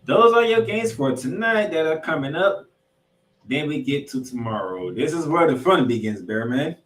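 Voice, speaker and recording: A man's voice: a little high, expressive, normal speed. A man hosting a sports podcast, giving football preview commentary in an announcer-like way. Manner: announcer-like, addresses listeners as ladies and gentlemen. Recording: roomy echo, distant from mic.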